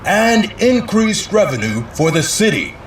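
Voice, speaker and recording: A man playing a polished, evasive city mayor in an audio drama, with a measured, rehearsed politician's delivery. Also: high voice, very animated, roomy sound, slight background noise. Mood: upbeat, official.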